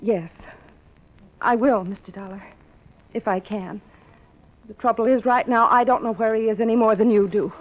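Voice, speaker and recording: Actress as nervous young American moll, higher voice, earnest pleading, old-time radio style, dull recording. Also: female voice, moderate pace, pauses often, a little hiss or hum, natural voice. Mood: subdued, cooperative. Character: anxious, pleading young gangster's girlfriend. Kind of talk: 1950s radio drama acting.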